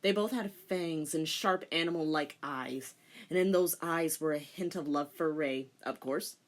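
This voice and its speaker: A woman's voice: sits low, unhurried. A woman narrating a comic dramatic reading with teasing, theatrical commentary.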